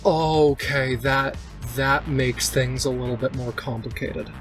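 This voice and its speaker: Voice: mid-range voice, fairly even intonation, slow pace. A player in a tabletop role-playing game, narrating a character's actions and checking the rules. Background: music.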